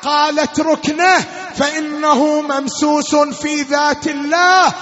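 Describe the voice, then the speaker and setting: A man delivering a half-chanted Shia lamentation sermon as a religious reciter, in an anguished, wailing, drawn-out voice. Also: very high voice, level tone, sharp tone, a faint crowd. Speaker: religious mourning reciter and preacher. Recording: long hall reverb, dull lo-fi sound, music-like backing.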